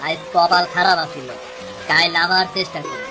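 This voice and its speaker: A man's voice: high-pitched, steady tone, normal speed, over music, lightly acted, rough recording. Man voicing patient village fisherman, rural Bengali dialect. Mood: consoling. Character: patient, hopeful older brother, poor village fisherman. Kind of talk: cartoon story acting.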